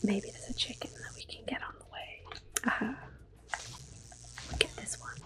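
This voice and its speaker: A woman hosting a relaxing game playthrough, talking mostly in a gentle, unhurried whisper with small exclamations here and there. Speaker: gaming ASMR host. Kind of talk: soft whispered game commentary.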